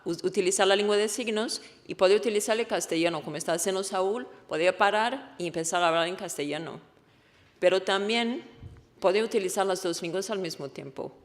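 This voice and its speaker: Portuguese-accented woman presenting in a reverberant hall. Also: mid-range voice. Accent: Portuguese-speaking. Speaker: researcher presenting a study. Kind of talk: conference presentation. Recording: echoey hall with long reverb.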